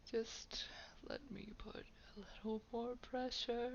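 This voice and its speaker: A woman voicing a haughty, menacing old vampire in a roleplay audio drama, breathy and gravelly, drawing out words with soft condescension. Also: a little high, level tone. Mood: sadistic, amused. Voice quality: breathy whisper with gravelly creak. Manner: slow, drawn-out, condescending, softly threatening. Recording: dull, lo-fi home microphone.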